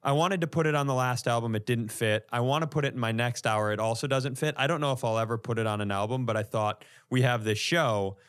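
A man's voice: medium pitch, quick pace, hardly any pauses, fairly bright tone, clean tone. An American man, a comedian and podcast co-host, telling stories casually and profanely, acting out reactions as he goes.

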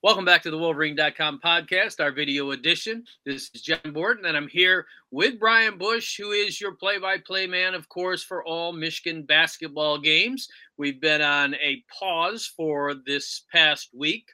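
Guy hosting a sports podcast, really bright forceful voice, clean and a bit high. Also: unhurried, few pauses. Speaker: podcast host. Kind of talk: sports talk interview.

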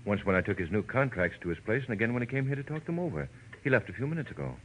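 Affable evasive gentleman, brisk, very varied intonation, polished 1940s radio acting, faint noise. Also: lowish voice, sing-song, poor audio. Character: smooth, affable, evasive well-off man in smoking jacket.

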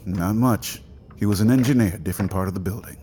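Actor as a deep, mellow, plain-spoken security guard. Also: male voice, fast, a little hiss or hum, close to an everyday voice. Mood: matter-of-fact. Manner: brief, matter-of-fact. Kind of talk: audio drama dialogue.

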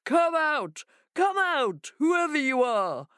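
A woman's voice: sits high, very animated, slow pace, a bit bright, no echo, studio quality, pitched above the performer's own voice, full character voice. A woman voicing a bossy, blustering male jackal in a storybook tale, with emphatic, commanding delivery.

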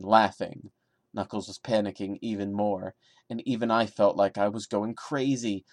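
A man with a fairly low voice works as a podcast host performing a creepy story. His delivery is theatrical and mocking, in a dry, close-sounding recording. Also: darkish tone, no room sound. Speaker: podcast host reading and commenting. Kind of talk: dramatic horror story reading with sarcastic asides.

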